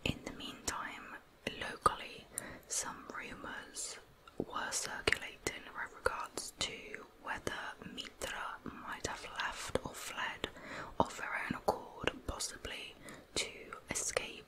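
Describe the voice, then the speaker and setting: A woman narrating a true crime case as ASMR in a breathy, unvoiced whisper, with soft, careful delivery. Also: slow, poor audio. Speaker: ASMR true crime storyteller, channel host.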